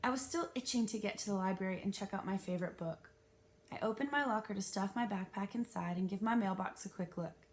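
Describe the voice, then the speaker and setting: A woman narrating a children's novel aloud in an expressive, animated and emphatic storytelling style. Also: mid-range voice, fast talker.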